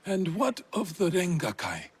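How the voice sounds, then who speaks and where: Slow, very husky scheming samurai villain. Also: male voice, high voice, huge pitch swings, full character voice. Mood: coldly menacing. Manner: slow, theatrical, threatening. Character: cold, menacing scheming samurai lord, villain. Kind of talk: dramatic video game acting.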